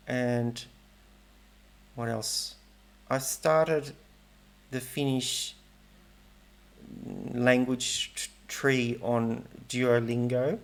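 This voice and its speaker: Gravelly hesitant man, rambling vlog. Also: medium pitch. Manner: rambling, hesitant, self-correcting. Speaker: language learning vlogger. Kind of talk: casual talking-head vlog.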